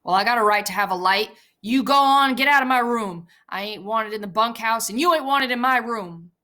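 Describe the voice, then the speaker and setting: A woman reading the part of a bitter, guarded older male stable hand, with blunt, sharp rural speech. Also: a little high, brisk, voice pushed up. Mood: hostile.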